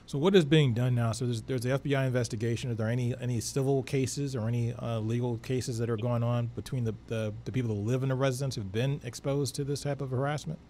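A man's voice: mid-range voice, steady tone, fast talker, hardly any pauses, mellow. A middle-aged American man co-hosting a radio show, asking inquisitive questions and rephrasing them, on a studio microphone. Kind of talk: conversational interviewing. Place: small indoor radio studio. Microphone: studio boom microphone with headset. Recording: noticeable background noise.

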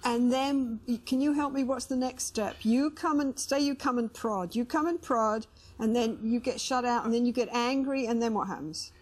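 A middle-aged woman with a fairly high voice that has a breathy, rough edge. She guides a counselling conversation as a therapist would, asking probing questions and restating what she has heard. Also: normal speed, hardly any pauses, poor audio. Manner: probing, reflecting back what is said. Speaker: therapist interviewing a couple. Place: indoor studio living-room set.

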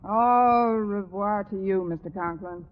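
An actress playing a wisecracking American schoolteacher with dry, arch delivery in a 1940s radio sitcom. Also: female voice, medium pitch, a little slow, long reverb tail, phone-quality sound, natural voice. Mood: wry, resigned. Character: wry, wisecracking adult woman high school teacher. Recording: noisy band-limited 1940s radio recording.

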